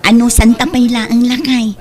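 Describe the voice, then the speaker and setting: A woman's voice: a little high, level tone, normal speed, darkish tone, close to an everyday voice. An Ilocano-accented woman playing a patient, ambitious younger sister who trades at a market, in an audio drama. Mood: hopeful. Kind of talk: Ilocano radio drama dialogue.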